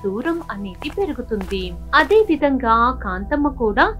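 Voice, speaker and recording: A woman's voice: on the high side, big pitch swings, a little slow, fairly bright tone, soft background music. A woman narrating a scripted moral story for children.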